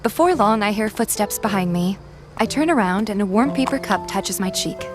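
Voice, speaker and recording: A woman voicing a shy, soft-hearted young heroine in a game fan dub, earnest in both narration and dialogue. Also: medium pitch, lively intonation, normal speed, over music, lightly acted. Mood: pensive narration.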